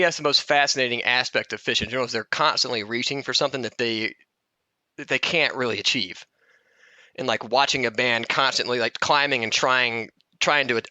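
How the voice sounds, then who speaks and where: A man co-hosts a podcast in a bright, sharp-edged voice. He chats informally and argues his view in loose, everyday language. The recording is tight and dry. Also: mid-range voice, no echo, muffled recording. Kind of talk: casual chat.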